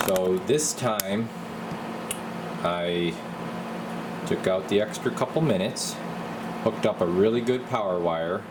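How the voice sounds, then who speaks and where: Deep voiced guy doing an informal repair walkthrough, kinda rambly, noisy workshop hum behind him. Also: takes it slowly, pauses often. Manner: informal, rambling, hands-on explaining. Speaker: radio repair technician and video host. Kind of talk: casual how-to demonstration.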